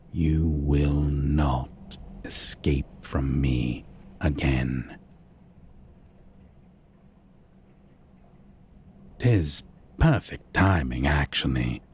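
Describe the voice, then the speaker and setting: A man's voice: a bass voice, a little mellow, breathy edge, heavily acted. A man playing a haughty, sadistic vampire captor in an ASMR roleplay, with a drawling, condescending, menacing and archly formal manner. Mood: smug, taunting.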